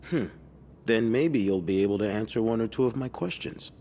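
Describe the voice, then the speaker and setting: An actor playing a skeptical, middle-aged police detective in a mystery game, questioning in a probing, condescending manner. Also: male voice, fairly low pitch, animated, moderate pace, mellow. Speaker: video game character. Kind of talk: narrative mystery game voice acting. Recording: long room reverb, faint noise.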